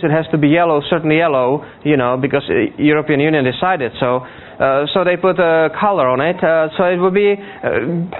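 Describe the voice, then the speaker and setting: Guy from africa preaching, pretty high voice, earnest n repeats himself a lot, sounds tinny like a phone line. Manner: earnest, halting, repeats words and checks in with listeners. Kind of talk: conversational sermon. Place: speaking to a church congregation. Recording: tinny, phone-like narrow band.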